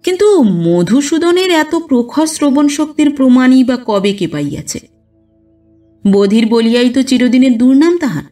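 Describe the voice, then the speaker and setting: Female narrator for literary audio fiction, fairly high voice, measured and expressive, slightly off-mic. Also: far from the mic. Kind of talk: scripted literary narration of a classic story. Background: background music.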